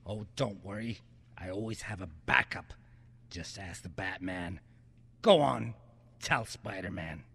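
A man's voice: deep voice, unhurried, creaky, pushed and bright, husky, exaggerated voice. A man playing a gleeful, vengeful maniac supervillain in an amateur radio play, ranting theatrically and menacingly. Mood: taunting.

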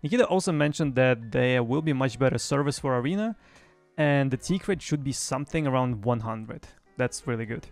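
A man's voice: mid-range voice, animated, fairly quick. A young man presenting a gaming news recap in a semi-scripted style. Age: young adult. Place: small home streaming room. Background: faint music.

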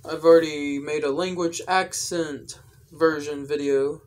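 A young adult man explaining things in a casual vlog style, with careful, halting phrasing, recorded indoors at home. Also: a little high, steady tone. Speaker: video creator. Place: indoors, small room at home.

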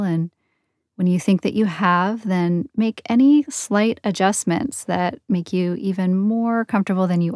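A woman leading a guided meditation in a soothing, gentle, unhurried manner with long pauses between phrases. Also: on the low side, dry recording, studio quality. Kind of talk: guided yoga nidra meditation.

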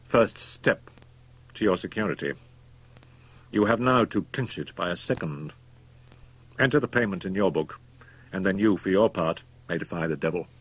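Guy playing a cold-blooded young doctor in an audiobook, calm but pushy, kinda husky, leans on every point. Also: sits low, normal speed, pauses often, low-quality recording. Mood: smooth persuasion. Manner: calm, persuasive, emphatic. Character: cool, domineering, worldly young doctor, a murderer.

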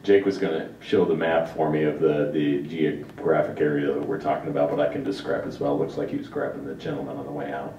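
Very deep-voiced man briefing a council. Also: level tone, a little fast, hardly any pauses, warm tone. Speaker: city manager. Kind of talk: informal explanatory presentation. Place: large indoor council chamber. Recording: dull, echoey distant chamber pickup with rumble.

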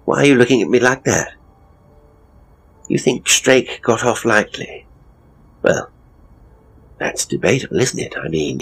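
A man's voice: deep voice, husky, exaggerated voice. A man playing a hapless, pompous middle-aged ghost tour operator in a horror audio drama, with a British accent and a grumbling, theatrical, wordy delivery. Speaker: ghost tour guide and storyteller.

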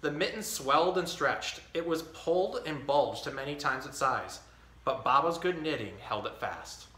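A man in his thirties hosting a storytime, reading a children's picture book aloud with expressive, clearly enunciated delivery. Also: fairly high pitch, moderate pace. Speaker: storytime host. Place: indoor tiled-floor room. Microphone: camera a few feet away. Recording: echoey room.

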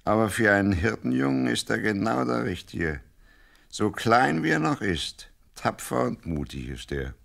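Radio-play father, gruff yet fond, forceful and creaky, theatrical delivery. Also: on the low side, animated, normal speed, exaggerated voice. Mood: fond, proud. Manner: scolding yet affectionate, theatrical. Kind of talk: audio play acting.

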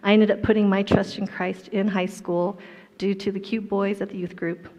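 A woman's voice: mid-range voice, flat delivery, moderate pace, a little mellow, slight background noise. A middle-aged woman from Colorado giving a personal testimony talk on stage, with a storytelling manner and self-deprecating humor. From Colorado, USA. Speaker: guest speaker. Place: large indoor hall stage. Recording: dull, echoey hall sound.

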